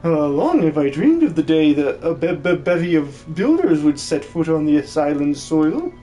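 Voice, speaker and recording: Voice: medium pitch, sing-song, normal speed, dark tone, faint music behind, lightly acted. A performer voicing a jolly, fussy old hermit who stutters by repeating words in threes, for a video game dub. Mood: jolly, excitable. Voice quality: stuttering triple repetition of words. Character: excitable old hermit with a repeating verbal tic.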